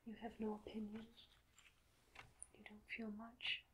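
Woman, soft whisper, medium pitch, ASMR doctor roleplay, gentle questions, long pauses, close mic, noticeable background noise. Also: monotone, rough recording. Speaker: ASMR performer playing a doctor. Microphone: close microphone.

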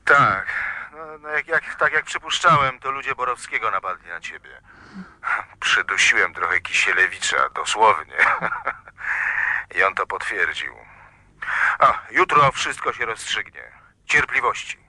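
A slick, businesslike schemer talks with a sharp, bright tone. His pitch sits a little low, and the sound is dry and close, as in a 1990s radio play. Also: a little slow, long pauses, no room sound, full character voice. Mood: reassuring. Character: scheming male middleman named Bergis. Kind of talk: 1990s radio drama acting.

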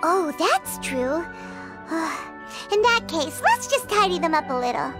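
A woman voicing a tiny, cheerful childlike fairy companion in a video game, squeaky, chirpy and naive. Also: sing-song, unhurried, heavily acted. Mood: puzzled. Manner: chirpy, naive, refers to self in third person. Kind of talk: video game character dialogue. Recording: music behind the voice. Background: game music.

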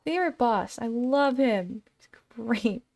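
A young adult woman chatting casually on a game livestream, rambling in an informal, expressive way. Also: on the high side, slow, vocal fry. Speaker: game streamer. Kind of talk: casual livestream chat over gameplay. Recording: game music behind the voice.

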